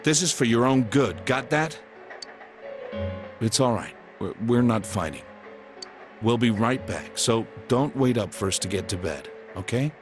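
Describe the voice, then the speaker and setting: A man's voice: medium pitch, lively intonation, unhurried, music in the background, close to an everyday voice. An actor playing a stern, protective middle-aged detective father in a video game, firm and blunt, with an American accent. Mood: reassuring. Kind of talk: English video game voice acting.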